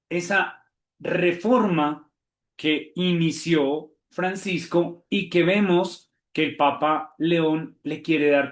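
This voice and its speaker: A man with a Colombian Spanish accent, a theology professor hosting a webinar, talking quickly and animatedly with frequent fillers and restarts. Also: a little high, echoey room. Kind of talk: webinar presentation.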